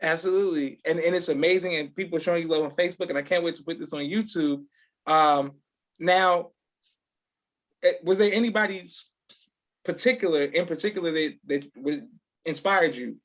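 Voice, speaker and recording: A man hosting a live online interview in a polite, halting manner, repeating words. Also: a little high, fairly bright tone. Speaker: podcast host, interviewer. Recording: dull lo-fi online call sound.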